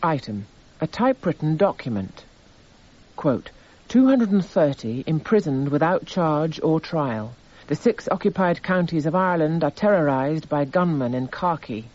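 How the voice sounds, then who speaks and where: An actor playing a detached, formal narrator who itemises evidence in clipped phrases for a radio drama. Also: male voice, fairly high pitch, animated, normal speed, darkish tone. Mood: detached, descriptive. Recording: slightly muffled radio broadcast.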